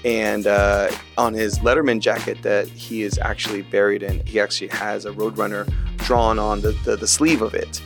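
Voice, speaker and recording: A man giving a personal reminiscence in a halting, conversational way. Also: on the low side, little pitch movement, vocal fry. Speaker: interviewee remembering his late father. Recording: music bed behind speech.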